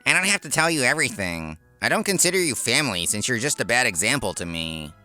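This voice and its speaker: Man voicing a sneering younger brother, condescending, lively pitch swings, manga dub, music behind. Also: quick pace. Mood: smug contempt. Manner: mocking, condescending. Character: sneering, smug younger brother with a corporate job.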